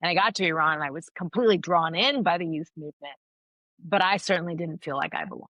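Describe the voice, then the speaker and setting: Low-voiced thoughtful woman, dry sound. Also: quick pace, fairly bright tone, no room sound. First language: Farsi. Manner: earnest, thoughtful, frequent restarts. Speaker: guest, anthropologist and author. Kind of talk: reflective interview answers.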